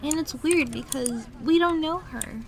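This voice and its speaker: A woman's voice: high voice, unhurried, creaky. A woman playing a jealous, pouty young gamer girlfriend in a casual, half-improvised roleplay audio. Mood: jealous, upset. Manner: pouty, grumbling, irritated. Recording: noisy room, clattering computer keyboard. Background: loud keyboard typing and background noise.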